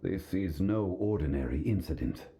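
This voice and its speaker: Grave, courteous gentleman consultant for a game: low register, measured and formal delivery. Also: animated, background noise. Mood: composed, wry. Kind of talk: video game voice acting. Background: game music.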